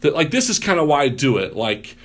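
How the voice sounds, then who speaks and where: A man's voice: a little high, a little fast. A man who works as a pastor, telling stories, acting out what people said. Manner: animated storyteller, loud voice, acts out quotes. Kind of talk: casual podcast storytelling.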